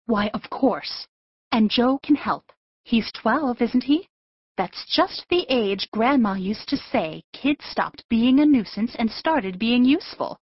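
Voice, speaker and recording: Husky-voiced, earnest mother. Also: on the high side, lively intonation, slow pace, no echo, highs cut off, heavily acted. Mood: reassuring. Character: patient, anxious but hopeful mother. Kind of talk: full-cast audiobook acting.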